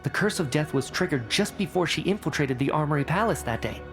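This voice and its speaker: An actor playing a brisk, coolly rational scientist in a video game, articulate and clinical as he reports. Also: on the high side, expressive, faint music behind. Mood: explanatory, detached. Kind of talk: video game cutscene acting.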